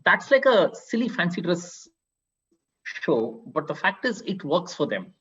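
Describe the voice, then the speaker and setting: A man with an Indian accent talks on a book panel. His voice is raspy and a bit high for a man, and he argues through rhetorical questions. The sound is slightly dull. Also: measured pace. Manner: animated explaining with rhetorical questions. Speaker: panelist and author. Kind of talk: literary panel discussion.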